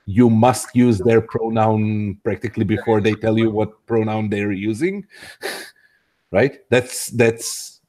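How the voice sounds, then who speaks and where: A man's voice: fairly low pitch, darkish tone. A man in an unscripted conversation, making his case earnestly and building his points in lists. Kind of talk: casual debate conversation.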